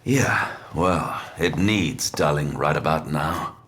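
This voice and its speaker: An actor playing a weary, haunted detective who narrates his own audio drama in a brooding, deadpan way. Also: rumbling low, slow pace, slight background noise, heavily acted. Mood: weary, wry. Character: weary, haunted male demon-hunting detective, grimly wry. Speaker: lead character narrating in the first person. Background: dramatic music.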